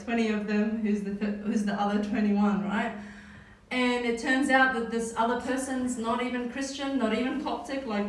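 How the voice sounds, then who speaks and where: A woman with a New Zealand accent leading a casual Bible study, talking in a conversational way with frequent "right?" tags. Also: a little high. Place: church hall. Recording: echoey hall, mic far off.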